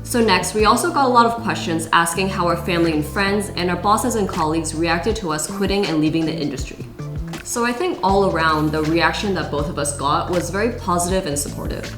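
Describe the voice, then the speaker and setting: Quick-talking low-voiced woman. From California. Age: young adult. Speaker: vlog co-host, former lawyer. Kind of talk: casual Q&A vlog talk. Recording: echoey room with background music.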